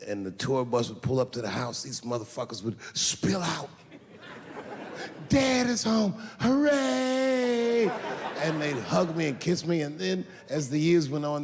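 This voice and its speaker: A man's voice: a little high, expressive, measured pace, big-room sound, a little hiss or hum. A male comedian telling a wry family story on stage. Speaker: stand-up comedian. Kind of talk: stand-up routine.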